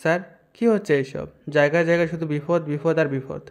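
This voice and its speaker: A man's voice: a little high, flat delivery, fast talker, natural voice. A man playing an eager, deferential navy subordinate in an audio drama. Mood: troubled. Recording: background music under the voice.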